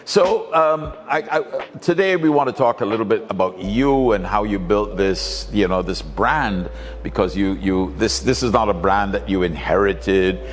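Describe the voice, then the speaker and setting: A man's voice: on the low side, expressive, moderate pace, few pauses, big-room sound, a little hiss or hum. A man hosting a talk show interview who speaks in an effusive way and often repeats his words.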